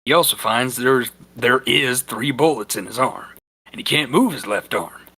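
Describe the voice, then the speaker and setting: A man's voice: medium pitch, moderate pace, pushed and bright, breathy edge. A man giving an amateur, dramatic comic reading of a story as narrator, stumbling now and then. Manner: stumbling, breaks into amused asides. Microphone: voice chat on a computer or headset mic.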